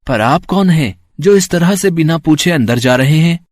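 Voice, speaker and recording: A man playing a young elephant-headed boy god, dutiful and boldly calm, with polite, formal speech. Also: fairly high pitch, moderate pace, no echo, clean studio sound. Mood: dutiful, firm. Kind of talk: acted dialogue in a Hindi mythological story.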